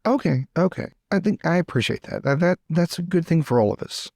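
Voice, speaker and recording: A fussy young lord, played by a man in a tabletop game. His voice is on the high side and swoops about with a creaky edge. He stammers, eager to please and theatrically exasperated. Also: expressive, brisk, darkish tone, no echo, studio quality. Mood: anxious, polite. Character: fussy, eager-to-please young male aristocrat, vain and flustered. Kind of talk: improvised tabletop roleplay.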